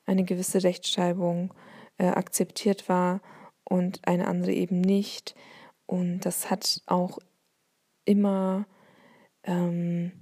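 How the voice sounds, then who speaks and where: A woman's voice: on the low side, gravelly, dark tone. A woman from Bavaria with a light Bavarian accent, thinking aloud in a solo podcast monologue, with many hesitations and fillers. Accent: light Bavarian German.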